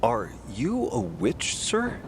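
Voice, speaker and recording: A man's voice: mid-range voice, wildly swooping pitch, takes it slowly, slight background noise. An actor playing an earnest, suspicious, God-fearing young man in an audio drama, with formal, theatrical wording. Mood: accusing, suspicious. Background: music.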